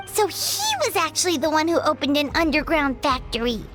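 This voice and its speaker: Tiny squeaky excitable fairy. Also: female voice, brisk. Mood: indignant. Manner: exclamatory, refers to self in third person. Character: tiny childlike excitable female fairy companion. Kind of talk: scripted anime-style game dialogue. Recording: loud game music behind.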